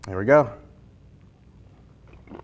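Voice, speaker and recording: A man hosting a casual web interview, speaking informally with jokey asides. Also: slow pace. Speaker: interview host.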